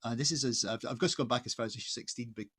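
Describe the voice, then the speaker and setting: A man hosts a casual interview in a husky, mid-pitched voice. He is chatty and a little halting, often starting a question over before he lands it. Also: steady tone, a bit bright. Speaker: host, magazine editor interviewing an artist. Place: online video call.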